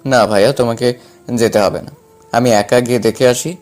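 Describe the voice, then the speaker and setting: A man acting as a young man in an audio story, cheeky yet caring, with a mid-pitched voice. Faint music runs under his lines. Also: steady tone, a little fast, a little mellow, lightly acted. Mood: casual.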